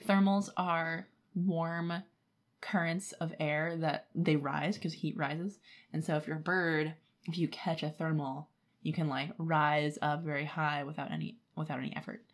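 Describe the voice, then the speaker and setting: A woman's voice: sits low, fairly even intonation, measured pace. A woman co-hosting a podcast, recapping a book in casual chat and slipping into paraphrased quotes from its characters. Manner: acts out characters' lines in paraphrase. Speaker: podcast co-host.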